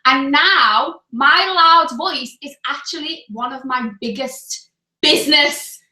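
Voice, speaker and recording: A young woman co-hosting a live stream, talking loudly and energetically and laughing often. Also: high-pitched, takes it slowly, echoey room. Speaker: co-host of a live business coaching stream. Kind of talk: casual live-stream chat.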